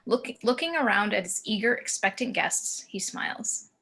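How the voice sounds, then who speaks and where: A woman's voice: medium pitch, animated, normal speed, vocal fry, sharp tone. Woman narrating screenplay stage directions. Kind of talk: scripted screenplay narration, table read. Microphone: video call.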